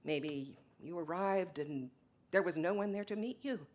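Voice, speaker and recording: A woman performing an earnest, conflicted Mennonite wife in a dramatic stage reading, halting and emotional. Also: on the low side, darkish tone, lightly acted. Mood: wistful.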